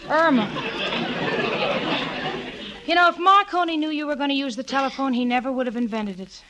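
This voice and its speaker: A woman's voice: high-pitched, moderate pace, pushed and bright, some chatter behind. An actress playing a sardonic, exasperated young woman in a 1940s radio comedy, wisecracking with dry sarcasm on a crackly old recording. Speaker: narrator and co-lead of radio sitcom.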